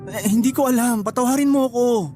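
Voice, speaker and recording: A man's voice: extremely high pitch, brisk, warm tone, soft background music. A man acting a remorseful young boyfriend in a Tagalog radio drama, apologetic, subdued and terse. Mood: pleading. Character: remorseful, sheepish young boyfriend pleading for forgiveness.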